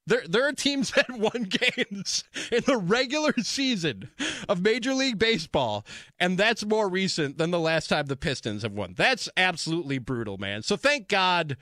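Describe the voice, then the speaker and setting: A man's voice: sits high, big pitch swings, normal speed, sharp tone, no echo, studio quality. An American man hosting sports talk radio, speaking in an emphatic, conversational monologue that repeats phrases for emphasis.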